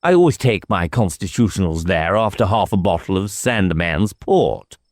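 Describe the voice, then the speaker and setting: A man's voice: deep voice, animated, normal speed, dry recording, studio quality. A man voicing a whimsical, evasive village clergyman with a coy, wordy and mannered way of talking, in an audiobook.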